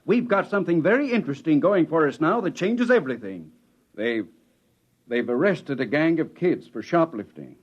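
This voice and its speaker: An actor playing a hearty, coaxing, opportunistic newspaper publisher in a 1950s radio drama. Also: male voice, on the high side, animated, moderate pace, breathy edge. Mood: eager, coaxing. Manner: coaxing, enthusiastic. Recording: dull 1950s radio recording.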